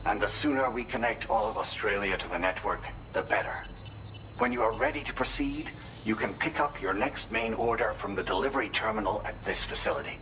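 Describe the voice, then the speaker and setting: Low-voiced, formal game official. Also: male voice, sing-song. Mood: grave, guarded. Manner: formal, precise, deliberate. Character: formal, grave male official directing a courier remotely. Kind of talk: video game cutscene dialogue. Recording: clear background noise.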